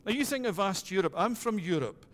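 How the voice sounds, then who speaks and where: A middle-aged Scottish man preaching a sermon as pastor, measured and deliberate, with a habit of repeating "it is that.". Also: high-pitched, expressive, in a large hall, a little hiss or hum. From Scotland.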